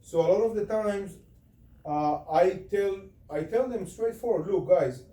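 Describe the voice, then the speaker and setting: A man's voice: high voice, measured pace. A man in his forties with an Israeli accent, coaching a sales team in a small office, pacing and gesturing as he talks. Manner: animated, talks with his hands while pacing. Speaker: sales trainer. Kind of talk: sales training session. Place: small office room with whiteboard. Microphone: far camera. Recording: echoey room from far off, faint hiss.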